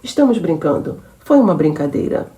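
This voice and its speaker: Woman reading a calm, firm man. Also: on the low side, fairly quick, distant mic. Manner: firm and reassuring. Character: calm, efficient older man on a ship.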